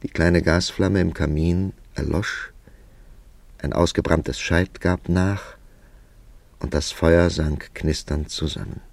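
An actor playing a reflective writer who narrates his own story in a measured, literary radio play style. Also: low-pitched, fairly even intonation, creaky, a little mellow, no room sound, exaggerated voice. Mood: hushed, absorbed.

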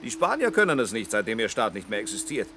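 Radio play role: skeptical, sardonic male traveller, lower register, grumbling delivery over noisy ambience. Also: sing-song, fast. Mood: irritated, sardonic. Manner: grumpy, sardonic. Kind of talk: 1960s German radio drama acting.

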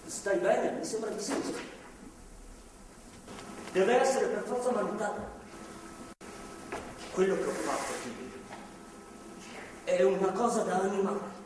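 A broken, remorseful man confessing and pleading in a stage drama. His voice is high for a man and halting, heard from a distance in a very echoey hall. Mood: awkward, contrite. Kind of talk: live stage drama acting. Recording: distant mic, echoey theatre hall, faint noise.

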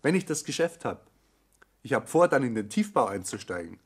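A man's voice: mid-range voice, very animated, normal speed, slightly rough. An actor playing an evasive, business-minded young man with a Bavarian accent in a radio play, matter-of-fact and detached. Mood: detached, businesslike. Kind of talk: naturalistic radio play acting.

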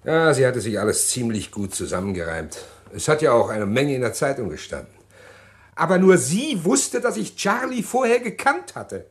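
A condemned man played by a male actor in a German radio thriller. He is a cold-blooded schemer, deliberate in the telling but desperate underneath, and his voice rises and falls widely as agitation takes over. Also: mid-range voice, very animated, close to an everyday voice. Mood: bitter, matter-of-fact. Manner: detailed, deliberate recounting breaking into agitated pleading. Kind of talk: German radio crime drama acting.

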